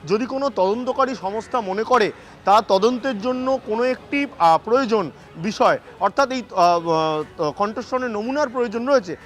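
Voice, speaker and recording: High-pitched male field news reporter. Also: lively intonation, normal speed. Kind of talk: live field report, spoken off the cuff.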